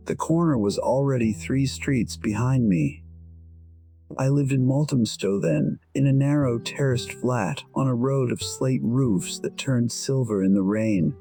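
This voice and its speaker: A man playing a wistful musician in his sixties, telling his own story in a reflective, dryly wry, measured way over music. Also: medium pitch, gravelly. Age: 60s. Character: wistful 62-year-old male musician narrating his life. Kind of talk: scripted first-person storytelling. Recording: soft music behind the voice. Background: instrumental music.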